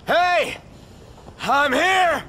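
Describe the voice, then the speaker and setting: An actor playing a moody, defiant young prince in a video game, speaking in a sullen, exasperated and terse way. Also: male voice, very high voice, expressive, extremely slow, slight background noise, exaggerated voice. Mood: angry, defiant. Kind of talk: dramatic video game acting. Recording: very loud game music behind the voice.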